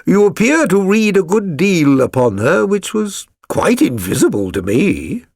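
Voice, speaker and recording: A man voicing an earnest, observant gentleman companion in an audiobook, with a British accent and a careful, hesitant way of describing things. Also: sits high, big pitch swings, measured pace, creaky, dry recording, clean studio sound, pitched above the performer's own voice, full character voice. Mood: puzzled. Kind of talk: dramatised audiobook reading.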